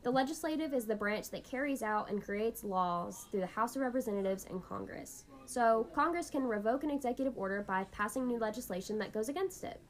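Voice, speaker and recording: A woman with a fairly high voice speaks as the host of an educational podcast. Her delivery is scripted and explanatory, laying out each point like a lesson. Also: lively intonation, a little fast, hardly any pauses.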